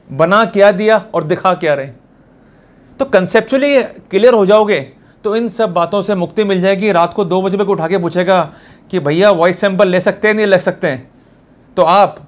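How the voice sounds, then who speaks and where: High-pitched Indian man lecturing emphatically. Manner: emphatic, exhorting, rhetorical questions addressed to the listener. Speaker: presenter of a legal lecture series, advocate. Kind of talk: motivational legal lecture in colloquial Hindi mixed with English terms.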